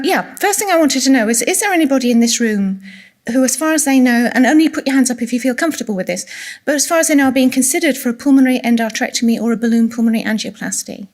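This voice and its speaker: A woman who is a clinical nurse specialist, giving a soft-spoken, engaging presentation to an audience. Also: sits high. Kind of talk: conference presentation. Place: conference room.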